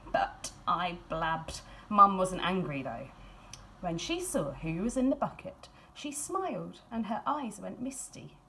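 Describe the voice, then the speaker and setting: A woman reads a children's storybook aloud expressively, in a measured storytelling manner with dramatic emphasis and hushed phrases. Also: medium pitch, slight background noise, low-quality recording. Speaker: school staff member reading a picture book aloud.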